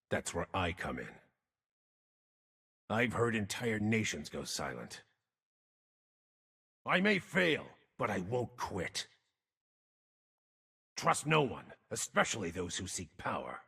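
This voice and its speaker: An actor playing a gruff, brooding wandering mage in a video game, world-weary and terse, with a raspy, gravelly voice. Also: male voice, lowish voice, a bit bright, long reverb tail, exaggerated voice. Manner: terse, grim, theatrical delivery. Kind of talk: video game character voice lines.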